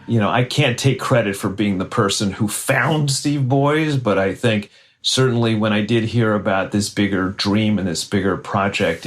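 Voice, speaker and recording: A man speaking conversationally as an interviewee, a former executive. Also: lowish voice.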